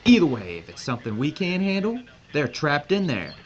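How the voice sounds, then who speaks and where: An actor playing a brisk, authoritative American army major with an American accent in an audio drama. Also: male voice, on the high side, huge pitch swings. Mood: firm. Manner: authoritative, terse. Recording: dull lo-fi recording with faint noise.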